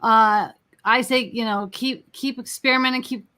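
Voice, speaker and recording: A woman's voice: fairly high pitch, fairly even intonation, normal speed, bright, forceful tone. A woman hosting an interview in a casual, conversational style. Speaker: interview host.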